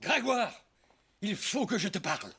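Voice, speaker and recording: A man's voice: high voice, big pitch swings, measured pace, vocal fry, pushed and bright, a little hiss or hum, exaggerated voice. A man playing an obsessed, menacing, manipulative priest in a French cartoon dub, with theatrical, impassioned delivery that swings from coaxing to threatening. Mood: scheming. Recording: long hall reverb, music behind.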